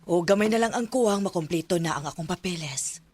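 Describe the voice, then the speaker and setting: A woman's voice: on the low side, lively intonation, fairly quick, a little mellow, slightly rough, no echo. A woman playing a practical, reassuring mother in a radio drama, with a Filipino accent. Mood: serious, reassuring.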